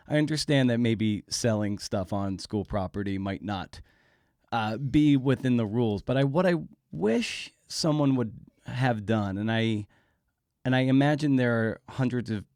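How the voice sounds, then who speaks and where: A man's voice: mid-range voice, darkish tone, no echo, clean studio sound. A man hosting a podcast interview in a conversational, informal way, feeling his way toward questions and sharing anecdotes. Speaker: podcast host.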